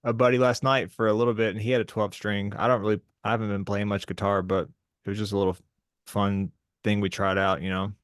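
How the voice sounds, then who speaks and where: A man's voice: on the low side, flat delivery, fast, clear, resonant tone, no echo, clean studio sound. A man hosting a podcast, chatting in an easygoing, encouraging way. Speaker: podcast host. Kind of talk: casual chat.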